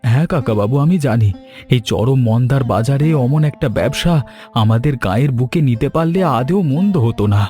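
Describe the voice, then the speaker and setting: A man voicing a younger village helper in an animated story, deferential and solicitous toward his elder. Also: medium pitch, a little fast, soft background music, natural voice. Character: concerned, respectful younger male village helper, eager to comfort. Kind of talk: Bengali animated story dialogue.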